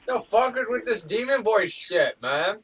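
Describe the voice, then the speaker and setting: A man's voice: sits high, animated, unhurried, fairly bright tone. A man chatting casually in a group, blunt and slangy with plenty of swearing. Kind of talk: casual online group chat. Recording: distant microphone.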